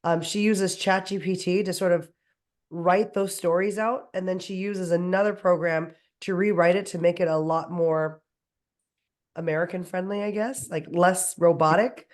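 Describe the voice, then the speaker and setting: A woman speaking hoarsely while getting over a cold. She chats enthusiastically on a panel and runs through long lists. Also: on the low side, steady tone, moderate pace. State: hoarse from a cold, losing her voice. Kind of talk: casual panel discussion.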